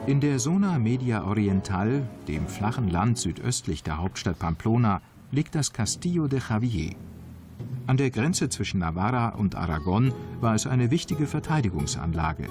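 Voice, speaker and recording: A man reading scripted travel documentary narration in a calm, measured, informative way. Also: on the low side. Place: voice-over studio. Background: background music.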